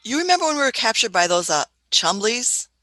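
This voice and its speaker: A woman's voice: fairly high pitch, very animated, brisk, pushed and bright. A woman voicing an elderly female time traveler in a fan radio play, shrewd and fussy, often hesitating with "uh" and "hmm".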